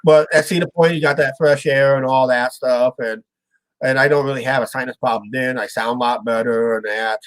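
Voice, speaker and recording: A man's voice: medium pitch, steady tone, brisk, dry recording. A man hosting a casual live-stream chat, rambling with lots of uh's and restarts, his voice sounding stuffed up and nasal. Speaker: show host.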